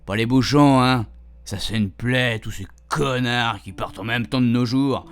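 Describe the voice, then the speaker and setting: A man's voice: medium pitch, unhurried, lightly acted. A man playing a chatty, cheeky retired grandpa who rambles colloquially through a radio fiction monologue. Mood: cheeky, reminiscing, then grumbling. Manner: rambling, colloquial, repeatedly checking his puns landed. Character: chatty retired grandpa, cheeky, self-amused, homespun storyteller.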